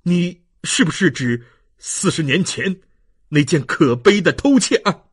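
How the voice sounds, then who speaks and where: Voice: mid-range voice, expressive, measured pace, breathy edge. A narrator voicing an earnest, passionate male baron in an audiobook, with emphatic, declamatory delivery. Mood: defensive. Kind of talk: audiobook character acting.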